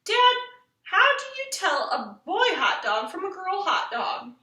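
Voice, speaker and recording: A woman's voice: very high voice, sing-song, unhurried, bright, forceful tone, voice pushed up, heavily acted. Chirpy, eager advert kid, played by a woman storyteller, exaggerated swooping sing-song, distant roomy sound. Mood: cutesy, eager. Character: eager, chirpy little children in television commercials. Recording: roomy echo, distant from the mic.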